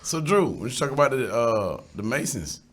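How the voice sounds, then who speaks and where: A man's voice: mid-range voice, very animated, moderate pace. A young man with a Southern US accent, co-hosting a podcast in blunt, slangy casual chat. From American South. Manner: loud, blunt, swears freely, slangy. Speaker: podcast co-host.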